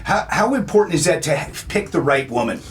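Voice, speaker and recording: A man's voice: fairly high pitch, lively intonation, fast talker. A man interviewing a fighter, prompting him with agreeable questions. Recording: faint ambient noise.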